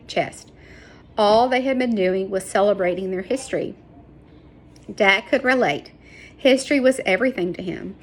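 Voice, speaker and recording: A woman reading a children's novel aloud as an expressive storyteller. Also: medium pitch. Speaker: storybook reader. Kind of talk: scripted narration, children's book read aloud.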